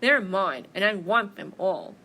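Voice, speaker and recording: Woman doing a booming greedy storybook bear, forceful. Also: expressive, a little slow, a little hiss or hum. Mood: greedy, bossy. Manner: booming, blunt, emphatic. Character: big greedy gruff bear, bossy, later befuddled.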